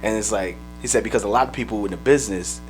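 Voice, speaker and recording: A man co-hosting a podcast, telling loose, profane stories in casual chat, his voice a little raspy. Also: fairly low pitch, soft background music, poor audio. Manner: fast, loose, profane storytelling. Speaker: podcast co-host.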